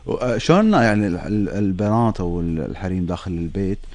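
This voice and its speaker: A man's voice: sits low, lively intonation, fast talker, darkish tone, dry recording. A man with a Gulf Arabic accent, co-hosting a radio show and putting questions conversationally. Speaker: radio co-host. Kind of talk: conversational interviewing.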